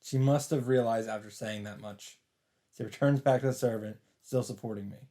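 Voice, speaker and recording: A man's voice: medium pitch, steady tone, a little fast, warm tone. A man doing a dramatic read-aloud as host of a visual novel playthrough. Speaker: let's play host reading aloud. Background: faint game music.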